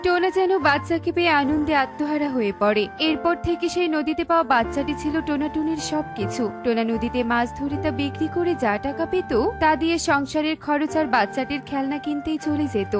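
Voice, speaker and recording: High-pitched woman narrating a children's story. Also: brisk, few pauses, over music.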